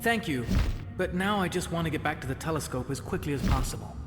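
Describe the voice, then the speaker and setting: Man as a high-voiced bold young hero. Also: animated, quick pace, music in the background, lightly acted. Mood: subdued. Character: young male hero swordsman, bold and sardonic. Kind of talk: video game character acting. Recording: echoey reverberant sound, ambient noise.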